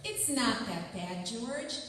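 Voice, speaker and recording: A woman's voice: on the high side, expressive, slow pace, fairly bright tone. A woman playing a consoling, curious friend in a stage radio play. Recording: echoey hall, distant mic, faint noise.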